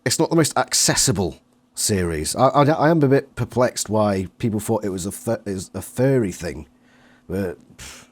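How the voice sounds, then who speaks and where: A man's voice: fairly low pitch, lively intonation, moderate pace. British man hosting a casual interview. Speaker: interviewer, channel host.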